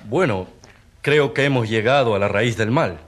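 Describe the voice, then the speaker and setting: An actor playing a learned doctor testifying as an expert witness, explaining deliberately, in a radio drama. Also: male voice, medium pitch, close to an everyday voice. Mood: grave, explanatory.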